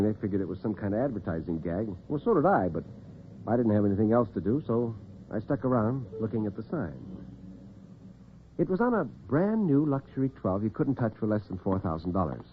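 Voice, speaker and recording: An American man telling dramatic tales as host and storyteller in classic radio style, theatrical and expressive. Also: lowish voice, a little fast, a little hiss or hum. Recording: narrow-band vintage radio recording, muffled.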